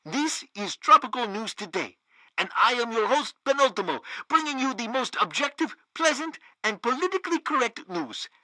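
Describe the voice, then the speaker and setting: A man's voice: extremely high pitch, very animated, normal speed, bright, forceful tone, no echo, full character voice, rough recording. An actor playing a pompous, theatrical and fawning male propaganda radio news host in a satirical video game.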